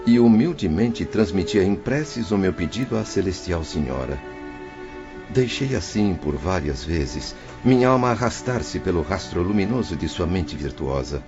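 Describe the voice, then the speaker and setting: A man's voice: low-pitched, expressive, normal speed. An actor playing a remorseful male spirit who tells his story in an earnest, sorrowful voice. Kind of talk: audio drama narration and dialogue. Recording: dull lo-fi audio with music behind.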